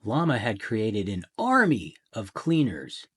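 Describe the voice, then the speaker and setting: A man reading a children's picture book aloud in a theatrical way, with deliberate pauses and emphasis. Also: fairly low pitch, huge pitch swings, fairly bright tone, breathy edge. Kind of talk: dramatic children's storybook narration.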